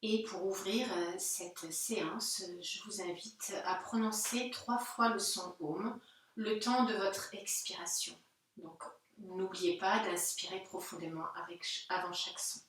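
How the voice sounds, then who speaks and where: A woman's voice: medium pitch, fairly even intonation. Echoey, distant woman guiding relaxation. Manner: gentle, unhurried, pausing between short phrases. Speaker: yoga teacher. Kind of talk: guided Yoga Nidra relaxation instruction. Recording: very echoey room, distant microphone.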